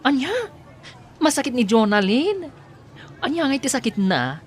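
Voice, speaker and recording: A woman's voice: high-pitched, big pitch swings, normal speed, a faint crowd, slight background noise. A woman with an Ilocano accent playing a flustered, hesitant, exclamatory woman in an audio drama.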